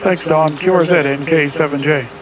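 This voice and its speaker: A man's voice: on the high side, steady tone, normal speed, crowd noise, low-quality recording. A middle-aged man working an amateur radio contest, rattling off clipped exchanges and spelling in phonetics. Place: small home radio room indoors. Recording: clear background noise.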